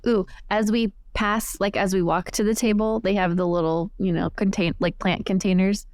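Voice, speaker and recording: A woman's voice: mid-range voice, fairly even intonation, moderate pace, a little mellow, no room sound. A woman playing a tabletop game, chatting casually and describing her character's actions.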